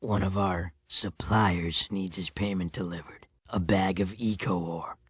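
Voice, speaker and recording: Gruff rebel boss in a game, low raspy voice, terse and sardonic, dry sound. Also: male voice, fairly even intonation, a little slow, no room sound. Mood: commanding. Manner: terse, commanding. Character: gruff, sardonic male underground resistance leader. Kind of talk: video game cutscene acting.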